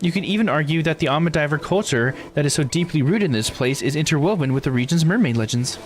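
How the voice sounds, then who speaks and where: A man's voice: a little high, expressive, fast talker, soft background music, voice pushed up, lightly acted. A man voicing an earnest, knowledgeable expert who formally explains local lore in a visual novel game. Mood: informative. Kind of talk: visual novel dialogue read aloud.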